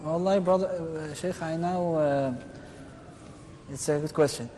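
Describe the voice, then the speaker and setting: A man of Egyptian and Dutch parents introducing himself as a guest on a studio panel. He speaks hesitantly, with frequent pauses and fillers, in a fairly high voice. The room adds a long reverb and a faint noise floor. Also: fairly even intonation, warm tone. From Netherlands and Egypt.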